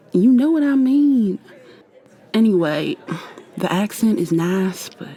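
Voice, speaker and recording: A woman with an American accent playing a playful, flirty modern witch in an intimate ASMR roleplay, speaking softly and teasingly to the listener. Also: on the low side, slightly rough. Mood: teasing, smitten. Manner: teasing, affectionate, conversational with trailing pauses. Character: playful, flirty modern witch mentoring a new coven member.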